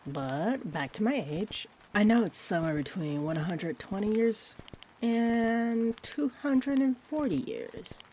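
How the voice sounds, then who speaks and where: A woman playing a sweet, rambling young-looking vampire bartender in an intimate roleplay audio, speaking gently and hesitantly with whimsical tangents. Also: medium pitch, slow pace, a little hiss or hum. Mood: chatty, musing. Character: sweet rambling friendly female vampire bartender, looks twenty-four. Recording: steady rain ambience behind the voice. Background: rain.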